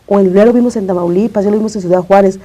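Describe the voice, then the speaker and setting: A Mexican woman speaks as a journalist guest in a studio. Her voice is very dark and soft-edged, with a husky grain and a middling pitch. She comments in a conversational way. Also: fairly even intonation, racing.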